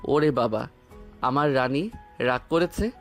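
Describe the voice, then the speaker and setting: A man playing an affectionate, playful young husband in a romantic audio drama, speaking in a tender, teasing way, with soft music underneath. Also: medium pitch, takes it slowly.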